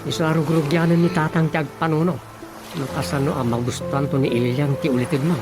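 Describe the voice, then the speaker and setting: A man's voice: a little high, level tone, fast, music in the background, close to an everyday voice. A man playing a practical, sceptical younger relative in an Ilocano radio comedy, talking matter-of-factly with an Ilocano accent. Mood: musing.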